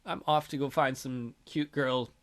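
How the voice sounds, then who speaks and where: A man hosting a let's play, reading a visual novel aloud in a theatrical, expressive way. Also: medium pitch, unhurried. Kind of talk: dramatic read-aloud of a visual novel, with casual asides. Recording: music behind the voice.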